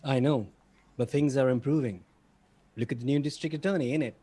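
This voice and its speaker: A man's voice: mid-range voice, fairly quick, mellow, slightly rough, close to an everyday voice. A man playing a dry, loyal, deferential British butler in a comic table read. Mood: wry, concerned. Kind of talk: online comedy table read.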